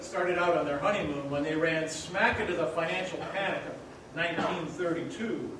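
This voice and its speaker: A man playing a brisk, authoritative senior angel in a staged radio play. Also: high-pitched, a bit bright. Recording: echoey hall, distant mic, faint noise.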